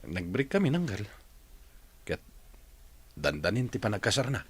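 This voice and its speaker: A man's voice: on the low side, sing-song, normal speed, breathy edge. A man acting in a radio drama as a heartbroken young jeepney driver, polite and subdued. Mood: dejected.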